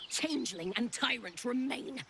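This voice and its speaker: High creaky possessed woman, halting urgent bursts, cryptic hive-mind mouthpiece, game drama, noisy ambience. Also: expressive. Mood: urgent, strained. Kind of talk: dramatic video game acting.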